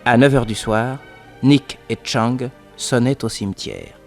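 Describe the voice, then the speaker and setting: Male storyteller for vintage French radio serial, medium pitch, scripted delivery over faint music. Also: measured pace, gravelly.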